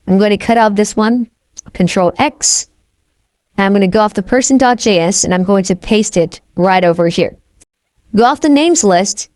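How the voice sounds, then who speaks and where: A woman's voice: mid-range voice, darkish tone, dry recording, clean studio sound. A woman teaching a coding tutorial, narrating each step of the code as she types, with frequent "okay?" check-ins. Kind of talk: screen-recorded coding walkthrough.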